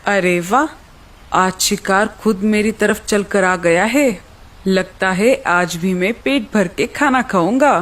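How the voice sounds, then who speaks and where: A woman's voice: mid-range voice, slow pace, lightly acted. A woman voicing a greedy, hungry male hawk villain who gloats in a children's cartoon story. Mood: greedy, gloating. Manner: gloating, possessive.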